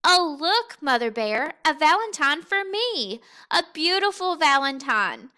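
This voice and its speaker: A woman's voice: high voice, big pitch swings, unhurried, sharp tone, voice pushed up, exaggerated voice. A woman voicing an eager, polite, curious young boy bear cub in a storybook, with an earnest, wide-eyed manner. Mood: excited.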